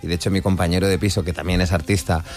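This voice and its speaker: A man's voice: deep voice, little pitch movement, racing, darkish tone. A man who works as a musical theatre actor chats in an interview, talking easily and openly about his voice. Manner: chatty, laughs easily. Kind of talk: interview chat. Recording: faint background music.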